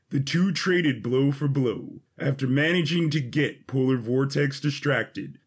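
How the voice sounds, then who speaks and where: A man with a husky voice giving a scripted, dramatic narration of an adventure story. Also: mid-range voice.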